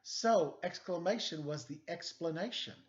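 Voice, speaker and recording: A man's voice: on the high side, unhurried. A man reading a children's story aloud expressively, with casual explanatory asides for young listeners. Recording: dull lo-fi sound.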